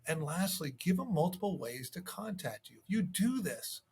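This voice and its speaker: A man's voice: sits high, big pitch swings, normal speed, a little mellow, slightly rough. A man giving instructional sales tips as a coach, in a conversational manner. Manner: conversational, acts out sample calls. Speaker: real estate sales coach.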